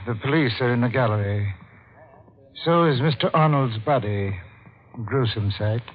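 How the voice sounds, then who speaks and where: A solemn, expressionless manservant, played by an actor from a vintage radio mystery. He speaks formally and grimly at middle pitch, on a very thin, phone-like recording. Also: normal speed, natural voice. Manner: formal, grim, clipped. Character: tall, gaunt, impassive male butler. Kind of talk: old-time radio drama acting.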